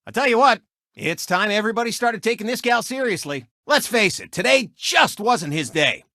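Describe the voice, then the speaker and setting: An actor voicing a cocky, gloating race car turned sportscaster in a video game, with a boastful, mocking announcer delivery. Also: male voice, sits high, expressive, normal speed, pushed and bright, no room sound, clean studio sound, heavily acted. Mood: announcing.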